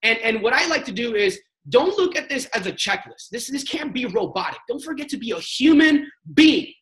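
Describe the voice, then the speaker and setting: A man's voice: very high voice, big pitch swings, moderate pace, a bit bright. A young man on a sales panel, giving a motivational training talk in a casual, slangy way and acting out sample chats. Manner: emphatic, coaching delivery. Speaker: network marketing panelist.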